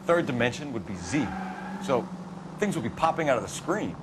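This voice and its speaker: An actor playing a brisk, authoritative 1940s police detective with an American accent in a video game. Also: male voice, medium pitch, big pitch swings, noisy background, lightly acted. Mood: conversational. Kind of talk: video game cutscene acting, interrogation and partner banter.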